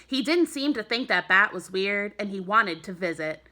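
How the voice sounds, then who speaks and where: Bright-voiced woman reading a children's story. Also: medium pitch, expressive, normal speed. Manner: clear storytelling for young children. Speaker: elementary school teacher. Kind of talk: children's storybook read-aloud narration.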